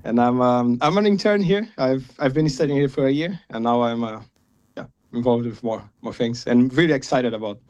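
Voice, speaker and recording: A man's voice: mid-range voice, fairly quick, darkish tone, muffled recording. A man from a worship team introducing himself in a friendly, casual way, laughing now and then. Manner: friendly, laughs while talking.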